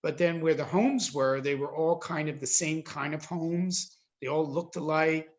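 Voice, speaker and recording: A man in his fifties with an American accent, giving reflective interview answers in a thoughtful, halting way and repeating words for emphasis. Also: fairly high pitch. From suburban New Jersey, United States. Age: 50s. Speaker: interviewee, Jesuit priest.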